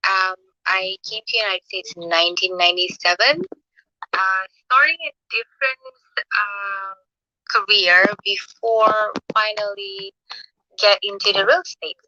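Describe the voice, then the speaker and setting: A woman's voice: medium pitch, a little slow, pushed and bright, no room sound, dull audio. A woman from Indonesia with an Indonesian accent, a real estate agent chatting casually, friendly and sometimes searching for words. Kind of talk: casual chat.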